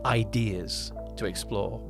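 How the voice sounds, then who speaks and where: A man's voice: on the low side, steady tone, slow pace, creaky, over music. A man co-hosting a podcast in casual conversation, talking in a rambling way and often correcting himself mid-sentence. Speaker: podcast co-host and producer. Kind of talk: casual chat about a production.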